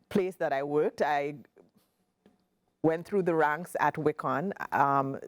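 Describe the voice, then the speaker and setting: A woman in her forties with a Caribbean accent, speaking as a guest CEO in a casual interview, measured and emphatic, repeating points for stress. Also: low voice, vocal fry, a little mellow, dry recording. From Grenada.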